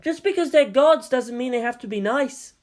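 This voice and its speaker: Narrator acting out earnest, high-pitched geeky young lieutenant. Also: animated, moderate pace, a little mellow. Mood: emphatic, lecturing. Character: earnest, geeky young male lieutenant explaining invented scripture.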